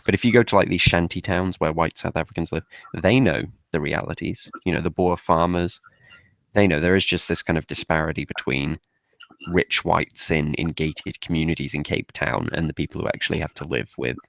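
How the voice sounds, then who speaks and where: A man's voice: rumbling low, a little fast, creaky, fairly bright tone, no room sound. A man talking as a panelist in a casual political discussion on an online group call.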